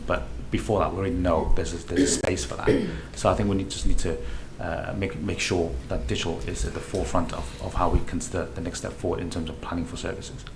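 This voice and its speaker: A man speaking as a board member in a formal meeting, making an earnest, emphatic point. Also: low-pitched. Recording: noisy meeting-room recording.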